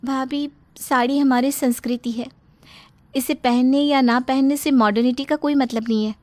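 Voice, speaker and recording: A woman's voice: sits high, fairly even intonation, normal speed, dry recording, pitched above the performer's own voice, lightly acted. A woman voicing a kind, principled young daughter-in-law, earnest and firm, in a storybook tale. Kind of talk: storybook character acting.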